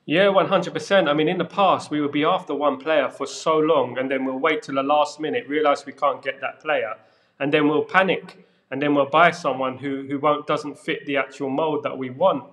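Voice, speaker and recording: A man, a guest giving measured, plain-spoken football views over a video call. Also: a little high, steady tone, fairly bright tone. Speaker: guest pundit. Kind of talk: casual football chat. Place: room with brick wall, indoors. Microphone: podcast mic on video call.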